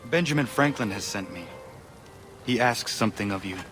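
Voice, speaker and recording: An actor playing a grave, determined young warrior in a video game, speaking tersely and formally. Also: male voice, on the low side, moderate pace, a bit bright, soft background music, close to an everyday voice. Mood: firm. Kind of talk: dramatic video game acting.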